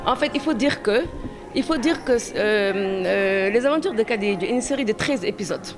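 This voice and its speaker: A woman speaking in an interview, haltingly and often repeating words. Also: medium pitch, lively intonation. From Senegal. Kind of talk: spoken interview. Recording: music playing behind the voice.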